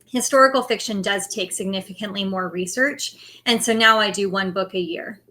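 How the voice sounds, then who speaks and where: Middle-aged woman author, bright voice, chatty and open, laughs a lot. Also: mid-range voice, normal speed. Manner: candid, laughs easily. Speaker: author interview guest. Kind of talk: casual interview answers.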